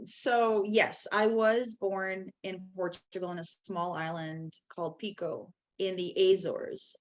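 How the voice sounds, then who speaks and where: A woman in her forties tells stories with lots of energy. She is a guest on a casual podcast, her voice sits a little low, and she acts out other people's lines while laughing easily. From Canada (Toronto). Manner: animated, laughs often, quotes others in dialogue. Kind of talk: casual storytelling.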